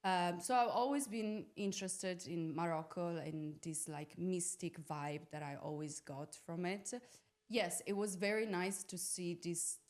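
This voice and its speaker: A young adult woman from Italy with a slightly low voice speaks as a podcast guest. She reflects casually on personal experience, in an informal way, feeling for the right word as she goes. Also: a little slow, darkish tone. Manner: informal, searching for words, peppered with "like". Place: podcast studio.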